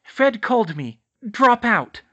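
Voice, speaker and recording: A man's voice: high voice, expressive, fairly quick, fairly bright tone, dull audio, pitched above the performer's own voice. A man voicing a fierce, determined and protective teenage witch girl in a story, speaking in an urgent, emphatic way. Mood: defiant. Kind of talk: audiobook character voice.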